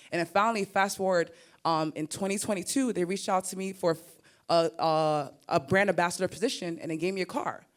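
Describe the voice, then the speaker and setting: A young woman with a Nigerian-American accent, talking animatedly as a creator on a summit panel stage. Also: medium pitch. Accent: Nigerian mixed with American. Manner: animated and expressive, laughs and repeats words. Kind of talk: conference panel talk.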